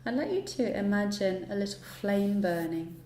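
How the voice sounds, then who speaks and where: A woman leads a guided yoga relaxation in a mellow, dark-toned voice. She gives each instruction soothingly and without hurry, pausing at length between them. The recording sounds roomy, with faint noise behind her. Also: mid-range voice, animated. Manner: soothing, unhurried instruction with long pauses. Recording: roomy echo, light background noise.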